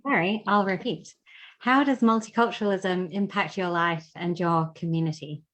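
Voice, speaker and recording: A woman with a slightly low voice moderates an online panel, brief and polite as she hands over to each speaker. She talks into a video call mic. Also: normal speed, a bit bright.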